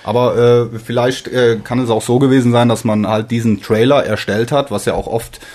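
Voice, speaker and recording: A man's voice: mid-range voice, fairly even intonation, normal speed, a little mellow. A man speaking as a podcast guest in a casual fan discussion, feeling out his phrases and often repeating words.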